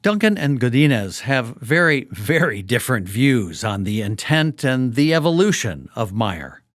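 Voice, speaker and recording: Expressive male documentary narrator. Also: mid-range voice, measured pace, no echo, studio quality. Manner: clear, storytelling, expressive. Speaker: podcast host.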